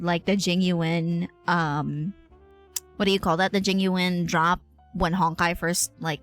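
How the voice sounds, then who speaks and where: A woman with a Filipino accent chatting casually to her livestream viewers in an excitable, expressive way. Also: mid-range voice. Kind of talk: casual chat with stream viewers. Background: faint music.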